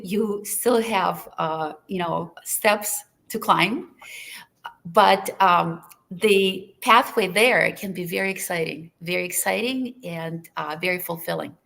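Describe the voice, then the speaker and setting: Creaky-voiced woman, native Russian speaker, earnest webinar panelist, video call audio. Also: on the low side, a bit bright. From Lithuania. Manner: earnest, many fillers and restarts. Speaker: panelist anesthesiologist. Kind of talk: webinar talk.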